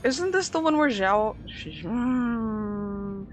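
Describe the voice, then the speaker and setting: A woman's voice: on the high side, lively intonation, extremely slow, a little hiss or hum. Woman streamer with a slight lisp. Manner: chatty, playful, talks to chat. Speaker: game streamer. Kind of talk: casual gameplay commentary. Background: faint game music.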